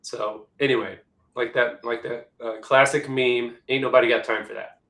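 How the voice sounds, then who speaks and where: Male webinar presenter, hoarse, chatty and conversational, echoey room. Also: medium pitch, fairly even intonation, moderate pace, a bit bright. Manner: chatty, conversational, many restarts. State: hoarse, losing his voice. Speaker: webinar presenter, executive assistant.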